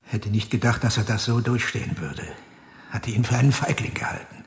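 Witness role for radio play male actor, mid-range voice, low-key chatty remarks, hall reverb. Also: fairly even intonation, brisk, close to an everyday voice. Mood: subdued. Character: onlooker at an execution, muttering comments. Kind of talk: radio drama acting.

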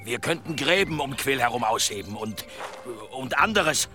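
A man's voice: medium pitch, animated, moderate pace, bright, forceful tone, faint music behind. An actor playing a vengeful, hot-tempered male villager in a German radio drama, aggressive and suspicious in manner. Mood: skeptical.

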